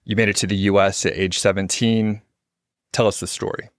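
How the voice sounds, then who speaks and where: A man's voice: fairly low pitch, little pitch movement, a little fast, no room sound, clean studio sound. A man hosting a podcast, talking in a friendly, informal way with lots of casual fillers. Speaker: podcast host. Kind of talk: casual interview chat.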